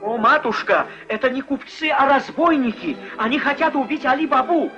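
A man's voice: very high voice, moderate pace, pitched above the performer's own voice. A man voicing a frightened young servant woman in a cartoon, with urgent delivery. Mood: alarmed. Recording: dull old film soundtrack with music behind.

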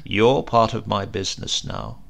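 A man voicing a tall, eerie stranger in a storybook, mild and insistent, giving calm and deliberate warnings. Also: fairly low pitch, creaky, voice pushed down, heavily acted. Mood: quietly insistent.

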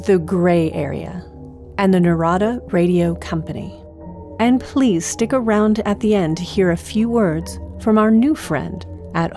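A woman's voice: on the low side, darkish tone. A woman hosting an audio drama podcast, reading a scripted introduction. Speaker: podcast host.

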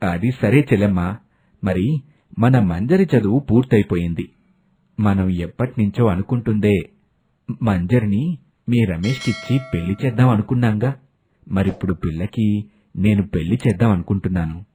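A man's voice: fairly low pitch, normal speed, long pauses, dark tone, breathy edge, voice pushed down. A man voicing a friendly older village man, an affectionate elder brother in a moral story. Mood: earnest, affectionate.

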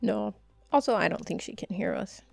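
A woman's voice: mid-range voice, lively intonation, normal speed, gravelly, breathy edge. A female podcast co-host talking in a normal voice in casual chat, dry, matter-of-fact and opinionated.

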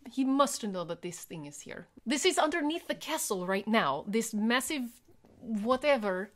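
A woman's voice: fairly high pitch, expressive, measured pace. A woman player in a tabletop game, speaking in conversational in-character roleplay.

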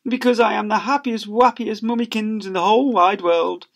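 A man's voice: high voice, fairly even intonation, moderate pace, creaky, a bit bright, voice pushed up, full character voice. A man voicing a doting, over-emotional mother in cloying, cooing baby talk. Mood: adoring.

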